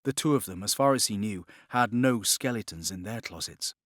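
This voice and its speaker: A man reading a novel as scripted dramatic narration, in a measured, expressive storytelling manner. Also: lowish voice, dry recording, clean studio sound. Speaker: audiobook narrator.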